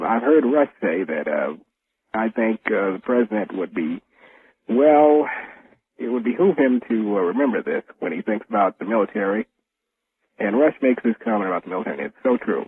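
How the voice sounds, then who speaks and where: Raspy, halting American man on a phone line. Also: on the low side, no room sound. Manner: halting, hesitant, repeating himself. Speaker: radio phone-in caller. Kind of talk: casual phone-in talk. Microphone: telephone. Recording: narrow, tinny phone line on radio.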